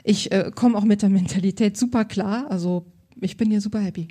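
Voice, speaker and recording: A woman's voice: medium pitch, brisk, mellow, husky. A woman working as a style consultant, answering interview questions in an enthusiastic, colloquial way.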